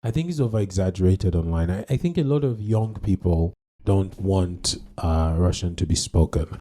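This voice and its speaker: Man, native english speaker, very mellow dark tone, lowish voice, casual podcast chat, opinionated, self-correcting. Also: expressive, moderate pace. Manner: opinionated, corrects himself mid-thought. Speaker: podcast co-host. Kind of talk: casual discussion.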